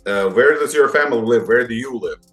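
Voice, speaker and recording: A man's voice: medium pitch, racing, a bit bright, roomy sound, soft background music. A man interviewing a fighter, asking his questions with many hesitations.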